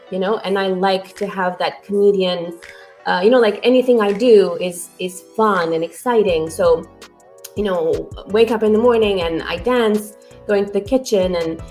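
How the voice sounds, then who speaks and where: Woman guest, mid pitch, energetic, echoey room sound. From Romania. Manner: lively, animated storyteller who talks with energy. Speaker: guest, author and book coach. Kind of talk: casual interview talk.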